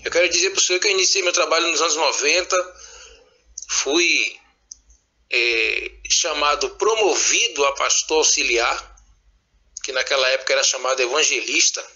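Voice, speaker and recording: A man's voice: on the high side, bright, forceful tone, husky. A man from Salvador, a former pastor giving an earnest, reflective spoken testimony, slightly congested with a cold. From Salvador, Bahia, Brazil. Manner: earnest, reflective, with frequent pauses and restarts. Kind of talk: recorded spoken testimony addressed to a listener. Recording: dull lo-fi voice message.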